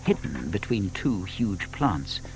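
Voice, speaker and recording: A man narrating a children's story from a script, with deliberate emphasis. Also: low voice, sing-song, vocal fry, background noise.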